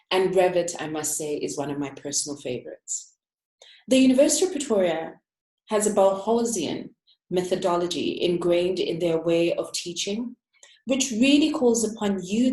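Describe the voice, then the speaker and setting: A woman, an interior architect, giving a prepared, reflective talk and slowing down to stress key words. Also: medium pitch, darkish tone, reverberant, low-quality recording. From Africa.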